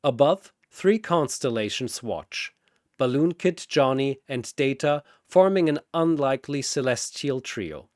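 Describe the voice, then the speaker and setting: A man's voice: medium pitch, lively intonation, unhurried, no room sound, clean studio sound. A man narrating a scripted fantasy battle theatrically, often in short, clipped phrases.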